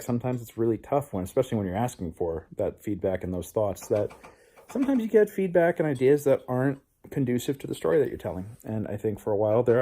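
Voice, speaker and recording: A man's voice: fairly low pitch, dark tone. A man talking reflectively in a personal vlog as a playwright, earnest and introspective. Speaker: playwright, show host.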